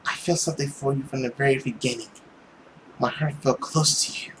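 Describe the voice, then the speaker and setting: A man's voice: medium pitch, fairly even intonation, a little fast, background noise, lightly acted. A man voicing an earnest, emotional young woman in a visual novel, speaking in a dramatic, pleading way. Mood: tender.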